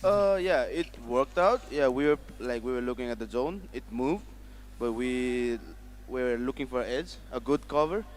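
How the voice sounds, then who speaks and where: A man's voice: medium pitch, animated, moderate pace, slight background noise. A young man whose first language is Nepali, hesitantly answering interview questions in English. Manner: hesitant, filler-heavy. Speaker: esports player. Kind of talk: post-match interview answers.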